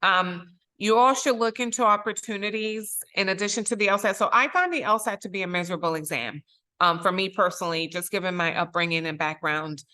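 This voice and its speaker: A woman's voice: mid-range voice, a little fast, bright, forceful tone. A middle-aged woman from Puerto Rico, a native Spanish speaker and judge, giving an earnest motivational talk to students and stressing key phrases. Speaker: circuit court judge, guest speaker.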